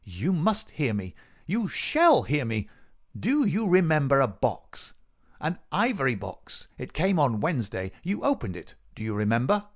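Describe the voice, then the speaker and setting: A man voicing a cruel, gloating murderer in an audiobook, sneering and mock-solicitous in a dry rasp. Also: medium pitch, huge pitch swings, measured pace, voice pushed up, heavily acted. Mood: insistent, menacing. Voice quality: dry, rasping. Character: gloating, cruel murderer taunting his dying victim. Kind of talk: dramatic audiobook character reading.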